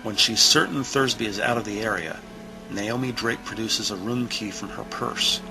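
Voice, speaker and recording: Male narrator, low gravelly voice, deliberate, suspenseful, scripted drama delivery, music underneath. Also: poor audio.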